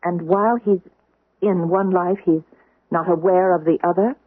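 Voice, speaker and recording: A woman playing a middle-aged housekeeper, inquisitive and briskly nagging, in an old-time radio drama. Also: lowish voice, steady tone, dry recording, natural voice. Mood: probing, uneasy. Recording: muffled, dry vintage radio recording.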